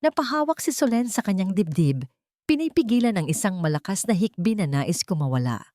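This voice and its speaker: A woman's voice: medium pitch, big pitch swings, brisk, dark tone, no room sound, studio quality. A woman narrating a scripted audio drama in a solemn, emotive storytelling manner.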